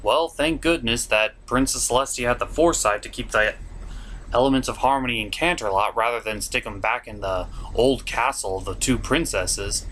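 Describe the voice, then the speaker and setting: A man's voice: medium pitch, moderate pace, fairly bright tone, a little hiss or hum, low-quality recording. A man hosting a comedic review, narrating from a script with sarcastic, mock-exasperated asides. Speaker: host of a comedic cartoon review show.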